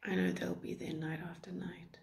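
A woman acting out a lonely, wistful dreamer in a stage-style monologue. The voice sits on the low side and stays fairly flat, with plenty of creak. The delivery is hushed and halting, with slow, careful phrasing. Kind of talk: dramatic monologue performance.

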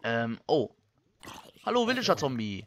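A young man from Germany, giving casual Let's Play commentary and thinking out loud in colloquial language. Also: medium pitch, big pitch swings, normal speed. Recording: slightly dull, lo-fi microphone.

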